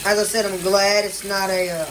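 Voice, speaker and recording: A man's voice: sits high, flat delivery, normal speed. A man rambles through a casual vlog walkthrough in a condo kitchen, full of fillers and restarts. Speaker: vlogger. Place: indoor condo kitchen by a running washer. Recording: noisy with running water and appliance sounds.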